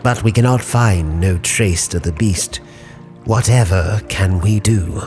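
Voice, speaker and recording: A man's voice: deep voice, takes it slowly, a little mellow, soft background music. A man playing a worried rodent islander in a roleplay story, speaking solemnly and formally. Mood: worried, uneasy.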